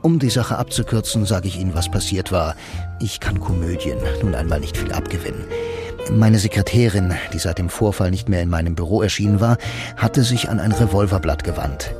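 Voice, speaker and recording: A man's voice: low-pitched, a little fast, lightly acted. An actor playing a sardonic blind bank employee who narrates his story in a radio play, dryly and laconically. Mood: bitter, dry. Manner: dry, deadpan, laconic. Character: sardonic blind male bank copywriter, a lonely self-styled noir hero. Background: music.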